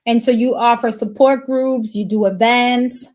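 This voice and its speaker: A woman hosting a casual interview chat, lively and animated, hyping up her guest. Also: a little high, measured pace. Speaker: host.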